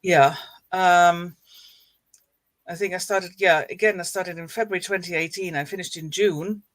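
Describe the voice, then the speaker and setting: A woman from Germany, a life coach, guesting on a casual live chat, cheerful and quick to laugh. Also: lowish voice, steady tone, fairly bright tone, no room sound.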